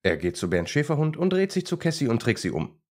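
A man's voice: fairly high pitch, sing-song, quick pace. A man narrating a scripted story for children as a storyteller.

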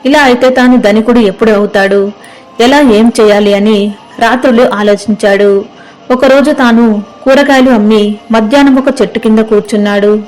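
A woman's voice: a little high, darkish tone, poor audio. A woman storyteller reading a scripted moral story as narrator.

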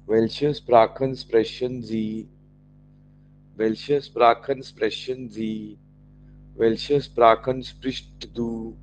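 A man with an Indian accent, whose first language is Marathi, teaching an online German class, slowly repeating each German phrase for his learners. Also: mid-range voice, a little hiss or hum, low-quality recording.

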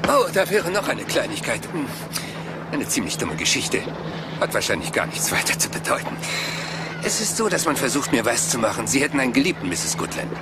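An actor playing a polite, probing police inspector in a German crime radio drama, hesitant and meandering, seemingly absent-minded. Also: male voice, medium pitch, big pitch swings, fairly quick, close to an everyday voice. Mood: feigned casualness. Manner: hesitant, meandering, deceptively apologetic. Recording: music behind the voice.

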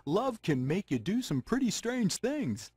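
A playful magic mirror storyteller for a video game. He is very animated and wry, a little husky, in a dry recording over faint music. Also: high-pitched, unhurried, dry recording, exaggerated voice. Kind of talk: scripted storybook narration for a game.

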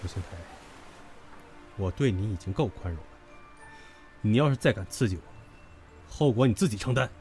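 A man's voice: fairly low pitch, animated, normal speed, long pauses, darkish tone, close to an everyday voice. An actor playing a brash, possessive, hot-tempered young man in an audio drama, goading and domineering. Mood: angry, commanding. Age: young adult. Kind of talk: Chinese audio drama acting. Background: background music.

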